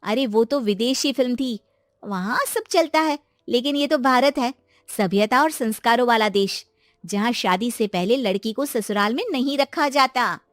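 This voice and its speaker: A woman voicing a chatty, sensible young college friend in an animated story. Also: on the high side, normal speed, dry recording, studio quality, close to an everyday voice. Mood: exasperated.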